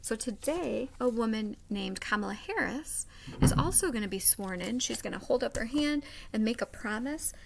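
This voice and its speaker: A woman reading a picture book aloud to young children like a teacher, expressively, with dramatic pauses and simple asides. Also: fairly high pitch, animated, vocal fry, background noise.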